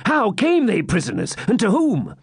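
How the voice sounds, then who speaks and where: A man's voice: high-pitched, wildly swooping pitch, normal speed, vocal fry, husky, no echo, clean studio sound, voice pushed up, full character voice. A man voicing an eager, bold medieval swineherd who speaks in an urgent, emphatic, raised voice.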